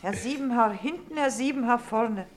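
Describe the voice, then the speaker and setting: Casting: actress for bitter, sickly Silesian carter's wife, radio play, higher-pitched scolding, slight background noise. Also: female voice, moderate pace, natural voice. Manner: complaining, bitter. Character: ailing, fretful, sharp-tongued carter's wife. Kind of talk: stage-style German radio drama acting.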